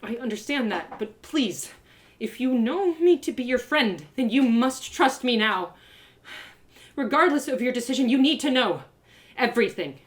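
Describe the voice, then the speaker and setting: A woman's voice: on the high side, animated, normal speed, pitched above the performer's own voice, close to an everyday voice. A woman voicing an earnest young heroine in a story, beseeching and full of emotion. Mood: pleading. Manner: urgent, beseeching.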